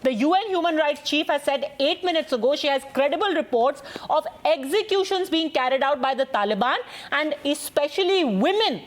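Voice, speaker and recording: A woman anchor runs a televised news debate in a brisk, pointed way. Her voice sits high, and she cuts in to challenge the guests. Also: lively intonation.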